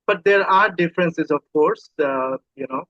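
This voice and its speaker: A Bangladeshi man chats as an interview guest in a fairly high voice. He is a native Bengali speaker with a Bangladeshi accent, circling back over words as he explains. The recording is dry and somewhat muffled. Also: moderate pace, a bit bright, no room sound. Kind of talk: casual interview conversation.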